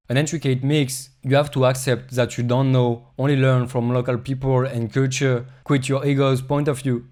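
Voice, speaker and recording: Flat-voiced man narrating. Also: mid-range voice. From Europe. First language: French. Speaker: travel vlogger. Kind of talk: reflective scripted voice-over narration.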